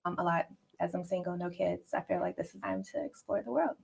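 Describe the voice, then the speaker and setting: American woman in her thirties, gravelly. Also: lowish voice, fairly quick, darkish tone. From Chicago, United States. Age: 30s. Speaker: dating show contestant. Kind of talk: casual introductions.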